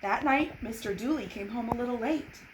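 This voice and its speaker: A woman reading a children's storybook aloud in an expressive, deliberate, dramatized way. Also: on the high side. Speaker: storybook reader. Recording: noticeable background noise.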